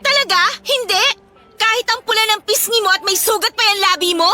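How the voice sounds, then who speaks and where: Radio drama: blunt, feisty young Filipino female friend, sharp and very high, light music underneath. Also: brisk, exaggerated voice. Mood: indignant. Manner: sassy, scolding.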